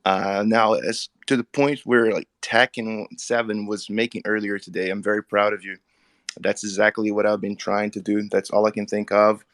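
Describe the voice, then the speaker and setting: Guy with a low voice, first language portuguese, earnest casual chat, dry sound. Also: flat delivery, moderate pace, a bit bright, no echo. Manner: earnest, asks a technical question. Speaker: Twitter Spaces speaker.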